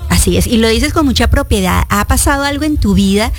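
A woman's voice: on the high side, animated, fairly quick, faint music behind. A woman hosting a radio interview, speaking in an encouraging, affirming way to her guest and listeners.

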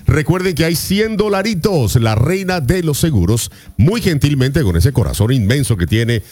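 Animated man hosting morning radio. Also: mid-range voice. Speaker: radio morning show host. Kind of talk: live call-in radio chat.

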